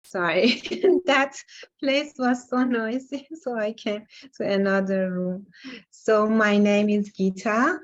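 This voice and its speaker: Hesitant woman from Iran on a call. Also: medium pitch. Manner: hesitant, many pauses and fillers, laughs often. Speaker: workshop participant. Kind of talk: casual introduction on a video call. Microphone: video call. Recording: dull lo-fi call audio.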